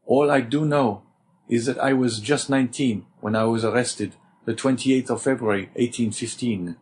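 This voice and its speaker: A man's voice: mid-range voice, moderate pace, darkish tone, slight background noise, natural voice. Young male prisoner, desperate and pleading, read by a man; voice lifted above his own. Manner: pleading, emotional. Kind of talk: audiobook character reading.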